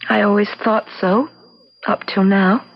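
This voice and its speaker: A woman's voice: mid-range voice, level tone, measured pace. An actress playing a fearful, earnest young woman who pleads insistently, in old-time radio drama style. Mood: accusing. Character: anxious young woman fearful of a fortune-telling machine. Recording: dull lo-fi radio recording.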